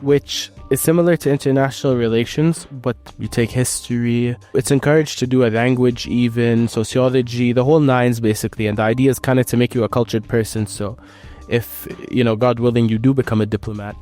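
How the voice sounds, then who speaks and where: A young man, a university student, answering interview questions in a relaxed, thoughtful way. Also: mid-range voice, brisk, faint music behind. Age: young adult.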